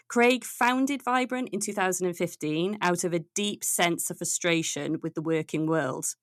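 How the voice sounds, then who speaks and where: A woman podcast host with a fairly low voice, presenting in a prepared, structured way. The recording is dry and close, with faint music at times. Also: no echo. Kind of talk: scripted podcast introduction and interviewing.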